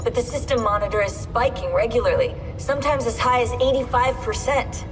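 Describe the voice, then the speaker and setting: A woman's voice: a little high, steady tone, a little fast, faint music behind, lightly acted. A woman playing a watchful, weary computer technician in a naturalistic video game scene, heard from afar in long reverb. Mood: concerned, matter-of-fact. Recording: distant, long echoing reverb.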